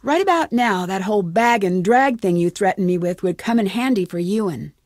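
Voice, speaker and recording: A woman's voice: medium pitch, lively intonation, a little fast, a little mellow, dry recording, clean studio sound, natural voice. An American woman playing a determined young amateur detective in a video game, assertive and questioning. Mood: insistent, probing. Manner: assertive, questioning, clearly enunciated. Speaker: game protagonist.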